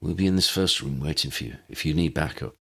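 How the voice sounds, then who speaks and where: Man as bold protective friend, husky voice. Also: rumbling low, fast, fairly bright tone, heavily acted. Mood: hushed, resolute.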